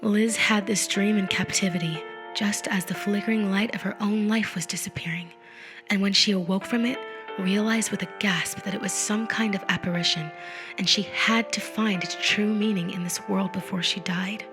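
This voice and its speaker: A woman narrates a literary audiobook in a deliberate, expressive storytelling manner, with phrase endings that drop to a hush. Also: mid-range voice. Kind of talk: dramatic literary audiobook reading. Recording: musical effects mixed under the voice. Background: music and effects.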